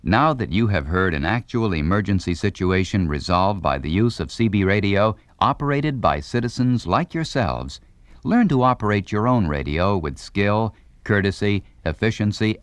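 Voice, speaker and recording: Low, animated male instructional narrator. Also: normal speed, dry recording. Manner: polished, formal announcer delivery.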